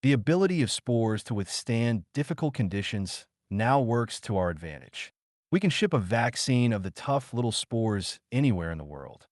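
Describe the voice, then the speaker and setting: A man's voice: medium pitch, big pitch swings, moderate pace, darkish tone, no echo, studio quality, close to an everyday voice. A man reading the quoted words of an earnest, confident French scientist in a biography audiobook, in a formal, assured manner. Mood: delighted.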